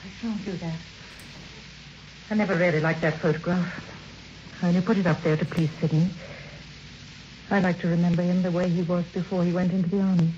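An actress playing a brisk, kindly housewife in an old radio drama. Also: female voice, fairly low pitch, fairly even intonation, a little mellow, close to an everyday voice. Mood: brisk, resigned. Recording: muffled, echoey, noisy old radio recording.

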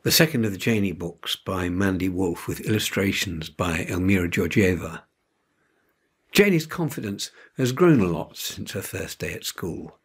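A man in his seventies reading a children's storybook aloud with expressive narration. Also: low-pitched, moderate pace, breathy edge. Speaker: storybook reader. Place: indoor room at home.

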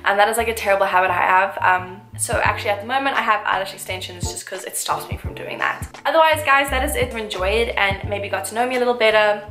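A woman's voice: medium pitch, quick pace, hardly any pauses, fairly bright tone. Twenty-something girl vlogging from her armchair, chatty and gushy, lots of ums, echoey room. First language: English. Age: 20s. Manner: chatty, frequent fillers, gushing. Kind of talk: casual get-to-know-me chat. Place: small room indoors, seated in armchair. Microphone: camera mic, medium framing. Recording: roomy echo, faint music.

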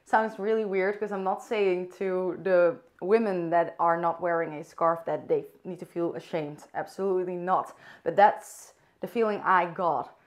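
A young woman from the Netherlands with a Dutch accent, speaking English as a second language in a soft, thoughtful personal vlog, pausing to search for words. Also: lowish voice, fairly even intonation. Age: young adult. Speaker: vlogger. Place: bright room indoors by a window.

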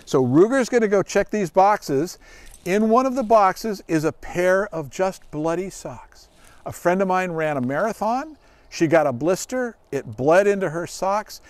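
A man's voice: high-pitched, a little mellow, dry recording. A middle-aged man giving a casual, folksy demonstration for children as a dog handler, explaining and asking questions. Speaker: search dog handler and guest presenter. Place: outdoors in a grassy forest clearing.

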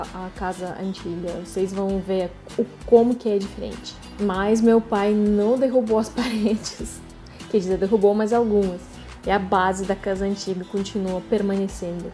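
A woman in her thirties from Russia, speaking with a Russian accent in a chatty, animated vlog, talking to camera from her sofa at home. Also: medium pitch. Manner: chatty, animated, laughing asides. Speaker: vlogger. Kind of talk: casual home-tour vlog. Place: living room on a sofa, indoors.

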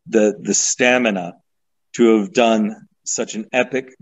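A man, a sculptor, talking in a halting, thoughtful way as a guest on a video call, often repeating words. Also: fairly low pitch, lo-fi sound. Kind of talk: casual interview over video call.

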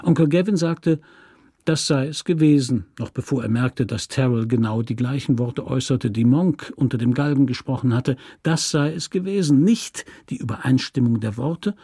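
A man's voice: medium pitch, normal speed, warm tone, breathy edge, no room sound, studio quality. A man narrating a literary story from a script.

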